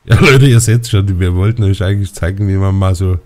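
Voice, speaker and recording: A man's voice: low voice, brisk. A man casually chatting while playing a game with a friend and talking to viewers, a bit stuffy-nosed. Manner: relaxed, talks to viewers. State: stuffy nose. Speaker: let's play host. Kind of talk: casual gaming chat.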